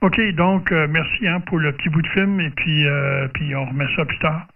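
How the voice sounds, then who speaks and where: Quebec guy doing ham radio chat, higher-ish voice, rambly, lots of repeats, thin lo-fi sound. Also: steady tone, moderate pace, creaky, heavy background noise. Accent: Quebec French. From Quebec, Canada. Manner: hesitant, rambling, repeats himself. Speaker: amateur radio operator running a group contact. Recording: muffled distant lo-fi radio audio.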